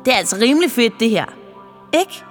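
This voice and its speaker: A woman's voice: fairly high pitch, very animated, faint music behind. A woman voicing an eager, curious young girl in a children's audio story.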